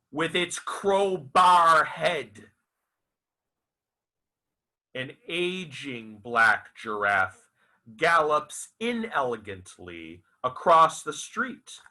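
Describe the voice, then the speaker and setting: A man reciting his own literary fiction as its author, in a theatrical, deliberate and emphatic manner. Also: fairly high pitch, bright, forceful tone. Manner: dramatic, deliberate, emphatic with repeated phrases. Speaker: author reading own novel aloud.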